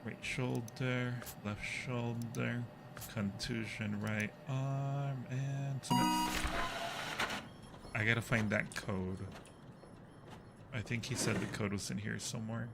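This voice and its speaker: A man's voice: mid-range voice, steady tone, slow pace, long pauses. A man hosting a livestream, giving casual, jokey commentary as he plays a horror game. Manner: jokey, exclaims and yelps when startled. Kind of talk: casual live gameplay commentary. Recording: clear background noise on a livestream.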